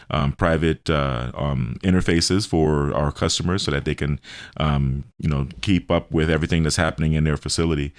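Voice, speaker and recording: A middle-aged man with a deep, low-pitched voice is interviewed on radio. He explains his work in careful, informative terms. His delivery is halting, building each answer piece by piece. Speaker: show host and health business owner being interviewed.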